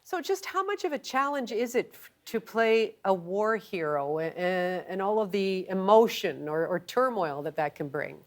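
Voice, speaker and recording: A woman's voice: medium pitch, animated, normal speed, hardly any pauses. A woman, speaking as a television host conducting an interview. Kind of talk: broadcast interview.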